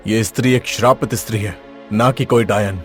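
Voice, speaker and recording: A man voicing a stern, wise holy man in an animated fairy tale, solemn, commanding and instructive. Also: on the low side, moderate pace, faint music behind, natural voice. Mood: solemn counsel.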